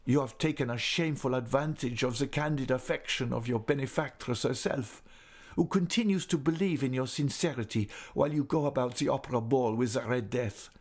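Storybook role: passionate jealous young aristocrat, male reader, pitched up, bitter and theatrical. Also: unhurried, darkish tone. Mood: bitter, anguished. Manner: melodramatic, bitterly accusing. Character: passionate jealous young nobleman, wounded and furious. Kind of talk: dramatic audiobook character reading.

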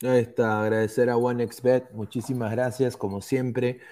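A man's voice: mid-range voice, little pitch movement, moderate pace, mellow, soft background music. A Peruvian man hosting a football podcast, chatting casually with lots of fillers and restarts. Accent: Peruvian Spanish. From Peru. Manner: chatty, many fillers and restarts, reads sponsor plugs. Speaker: podcast host.

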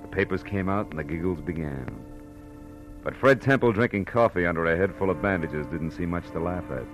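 An American actor playing a blunt, shrewd, world-weary private detective in a clipped, wry 1940s radio style. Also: male voice, low voice, a little fast, natural voice. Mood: wry, somber. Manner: clipped, tough, wry delivery. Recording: vintage radio recording with faint music.

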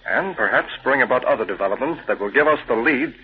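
An actor in an old radio drama playing a calm, shrewd newspaper boss with a measured, authoritative manner. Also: male voice, mid-range voice, close to an everyday voice. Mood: resolute. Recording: tinny, hissy vintage radio recording.

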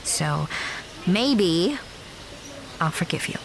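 An actress playing a guarded, blunt young woman with a wry manner and a soft heart in an audio drama. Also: lowish voice, animated, very slow, creaky, a crowd in the background, full character voice, poor audio. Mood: exasperated, softening. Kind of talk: naturalistic audio drama dialogue.

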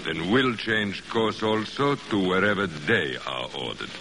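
Gloating submarine commander, deep, swooping intonation, confident, old-time radio drama. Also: male voice, animated, unhurried, gravelly, full character voice. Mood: intent, commanding. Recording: noisy old radio broadcast recording.

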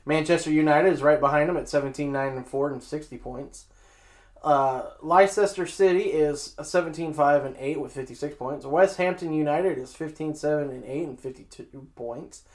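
A man's voice: on the high side, moderate pace. A man hosting a casual sports show, reading out league standings and joking at his own expense. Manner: joking, self-mocking, reading scores.

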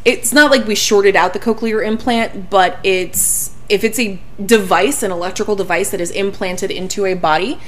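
A woman co-hosting a casual podcast, animated and laughing often, emphatic when stressing safety. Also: medium pitch, moderate pace, hardly any pauses. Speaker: podcast co-host.

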